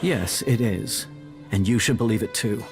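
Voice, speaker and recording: A man acts out a wary outlaw enforcer in a video game. He speaks bluntly in a low voice, pushy and threatening, over loud music. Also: moderate pace, lightly acted. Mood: warning, dismissive. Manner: blunt, profane.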